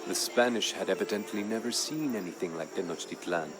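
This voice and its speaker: A grave Aztec warrior acted by a man tells of his people's fall in a low, deep voice. The delivery is measured, proud and dramatic, in the manner of a strategy game storyteller. Music plays clearly behind the studio narration. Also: natural voice. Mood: solemn, wary. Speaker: campaign narrator.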